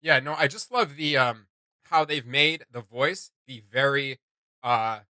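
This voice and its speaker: A man hosting a reaction video with casual, halting commentary, often searching for words and repeating himself. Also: mid-range voice, pushed and bright, no room sound.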